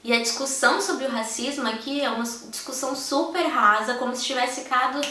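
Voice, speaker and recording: A young woman with a Brazilian Portuguese accent speaks frankly and with passion, her voice set a little high. She sits a bit back from the mic in a strongly echoing room. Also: fairly quick, sharp tone, recorded from a distance. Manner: frank, impassioned, speaks straight to viewers. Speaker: vlogger. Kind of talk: candid personal vlog.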